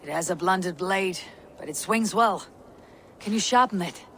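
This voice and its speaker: Husky, stoic Viking warrior woman. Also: fairly low pitch, measured pace, a bit bright, a little hiss or hum. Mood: calm, friendly. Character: Eivor, battle-hardened Viking warrior woman, stoic and brooding. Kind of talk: dramatic video game acting.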